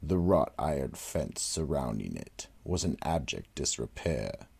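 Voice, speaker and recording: A man narrating a radio drama in a slow, dramatic way. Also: extremely low pitch, sing-song, creaky, a little mellow, slightly rough.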